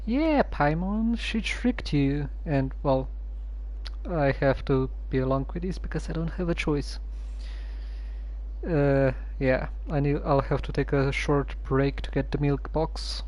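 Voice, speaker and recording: A streamer casually commenting on a story game with a hesitant, musing, deadpan way of talking. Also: mid-range voice, slow pace, rough recording.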